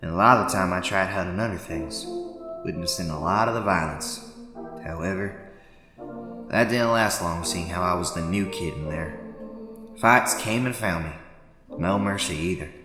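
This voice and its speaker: A man's voice: sits low, moderate pace, pauses often, roomy sound, big-room sound, music in the background, natural voice. A man voicing an indignant young boy bunny in an amateur cartoon dub, with a folksy, sassy drawl. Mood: somber recollection. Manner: folksy, sassy, scolding drawl with y'all and darn. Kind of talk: scripted amateur comic dub dialogue.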